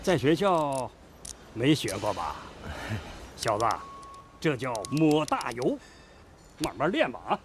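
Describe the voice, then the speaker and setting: A man acting a middle-aged master worker in a radio drama, gruff and blunt as he lectures. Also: mid-range voice, very animated, slow pace, pauses often. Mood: stern, instructive. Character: gruff veteran factory master worker, teaching sternly. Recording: faint background noise.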